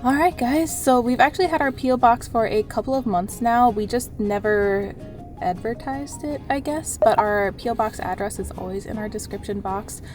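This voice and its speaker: A woman's voice: fairly high pitch, moderate pace, darkish tone. A young woman vlogging casually in a bubbly, playful way, her voice a bit stuffy from a cold. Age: young adult. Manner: bubbly and playful, laughs often. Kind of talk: casual vlog chat. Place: living room, then post office. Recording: roomy sound with music behind.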